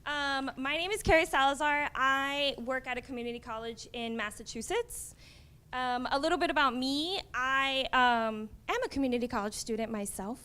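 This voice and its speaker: A woman's voice: high-pitched, moderate pace, bright, forceful tone, clean tone. A young woman from Miami, a community college librarian, giving a conversational conference presentation with wry asides. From Miami, USA.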